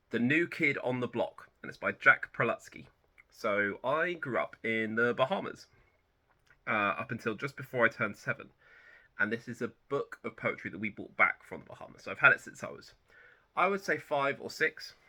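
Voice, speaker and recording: A man in his thirties hosting a book channel, chatting casually about personal favourites in a relaxed, rambling way that often corrects itself. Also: mid-range voice, moderate pace. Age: 30s. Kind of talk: casual personal chat to camera.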